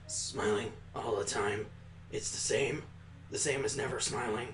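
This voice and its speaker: A man voicing a withdrawn, blunt little girl in a visual novel, speaking in a hushed, flat, terse way. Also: medium pitch, unhurried, echoey room, recorded from a distance, pitched below the performer's own voice, natural voice. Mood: withdrawn, wary.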